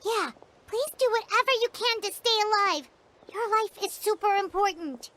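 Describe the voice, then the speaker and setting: A woman voicing a tiny childlike fairy companion in a video game, squeaky, chirpy and caring. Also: normal speed, pushed and bright, slight background noise. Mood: earnest concern. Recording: game music over the voice.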